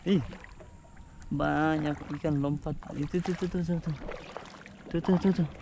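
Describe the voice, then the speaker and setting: Young man, excitable fishing vlogger, slow-spoken, outdoor wind and water noise. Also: fairly high pitch, gravelly. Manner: excitable, groaning and exclaiming while reeling in. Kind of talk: casual first-person fishing vlog. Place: outdoors on a kayak at sea. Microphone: action camera on the kayak.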